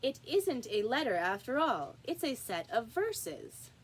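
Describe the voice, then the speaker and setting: A woman's voice: on the high side, very animated, unhurried, husky, exaggerated voice, rough recording. Woman reading as a fussy, deferential rabbit courtier. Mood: earnest. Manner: respectful, eager.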